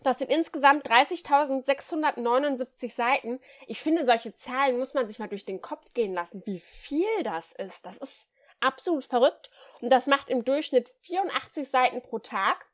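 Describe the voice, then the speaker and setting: A woman's voice: sits high, moderate pace. A woman co-hosting a book podcast in casual chat, a bit nasal from a cold, cheerful and chatty. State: has a cold, slightly nasal. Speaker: podcast co-host.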